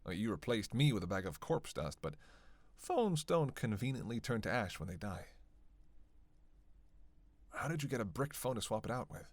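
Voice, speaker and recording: A man's voice: on the low side, very animated, moderate pace, pauses often. A man playing a sardonic, playful vampire who banters sarcastically with his hunter in an audio roleplay. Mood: sarcastic. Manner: sarcastic, playful, drawling asides with sighs and scoffs. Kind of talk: audio roleplay acting, one-sided dialogue.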